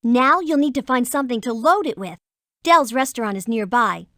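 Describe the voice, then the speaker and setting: High, lively young team leader. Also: female voice, moderate pace, dry recording, studio quality. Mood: confident, wry. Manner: decisive, slangy. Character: bossy, wisecracking young adventurer team leader. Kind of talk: children's computer game acting.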